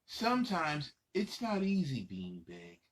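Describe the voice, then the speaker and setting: A man hosts a children's story time in a slow, unhurried delivery. His pitch moves up and down in a very lively way as he reads and chats directly to the kids. The style is casual, with small asides between the lines of the book. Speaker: children's story reader and host. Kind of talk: casual read-aloud of picture books to children.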